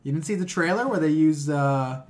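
A man's voice: a little high, normal speed, darkish tone. A man hosting a podcast in casual, opinionated chat, blunt, profane and emphatic in how he talks. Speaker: podcast host.

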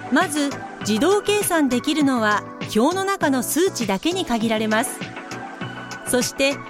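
A woman playing a formal, instructive narrator, delivering scripted explanations in a radio drama. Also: sits high. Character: crisp female case-file narrator explaining office software.

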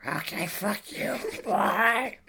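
Guy doing a super gravelly rapper character, sharp forceful edge, rude and blunt, improv comedy podcast. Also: sits high, expressive, very slow, heavily acted. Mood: irritated. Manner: blunt, insulting. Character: gravelly, blunt, foul-mouthed male rapper and film actor.

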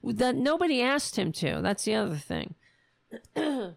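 Woman host losing her voice, hoarse and gravelly, cussing through a slow angry rant. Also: fairly high pitch, big pitch swings, no room sound. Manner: angry, profane ranting. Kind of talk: solo political commentary show.

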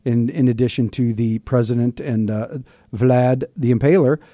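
A male radio host with a mellow, relaxed voice, recorded close and dry. He talks casually about politics in a chummy, wry manner, often halting as he feels his way through a question. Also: mid-range voice, no echo. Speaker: radio host interviewing a guest. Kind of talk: casual political talk interview.

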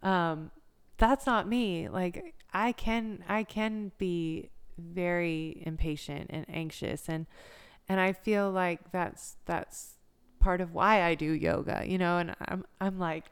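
A woman's voice: fairly low pitch, steady tone, slow, clean tone. An American woman of about thirty talking casually and reflectively as an interview guest, in an informal way. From United States. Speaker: yoga instructor, interview guest.

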